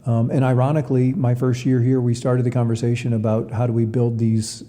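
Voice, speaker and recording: A man giving earnest, measured interview answers as an athletics director. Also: medium pitch, little pitch movement, warm tone. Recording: long reverberant hall echo.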